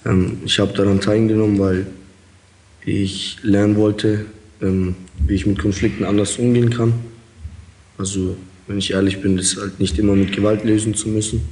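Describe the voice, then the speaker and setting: A man's voice: fairly low pitch, level tone, moderate pace, a little mellow, rough recording. A young man from Kazakhstan answering interview questions as an inmate, hesitant with many fillers. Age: young adult.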